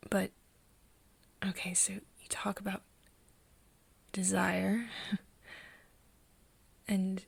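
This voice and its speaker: A woman playing a hesitant, caring young human friend in a soft ASMR roleplay, breathy and often dropping into a whisper, speaking haltingly. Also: mid-range voice, exaggerated voice. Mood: puzzled, hushed. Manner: halting, hesitant, with nervous giggles. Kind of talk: soft ASMR roleplay acting addressed to the listener.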